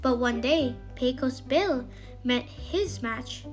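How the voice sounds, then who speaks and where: A woman narrating a scripted children's bedtime story in an expressive, emphatic way. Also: sits high, takes it slowly, faint music behind.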